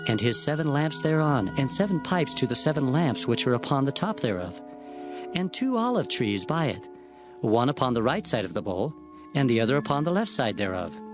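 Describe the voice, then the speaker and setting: Earnest awed prophet guy acting, telling visions, very lively intonation, soft music behind, bible drama. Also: medium pitch, lightly acted. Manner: expressive, reverent. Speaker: narrator. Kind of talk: dramatized scripture narration. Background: faint music.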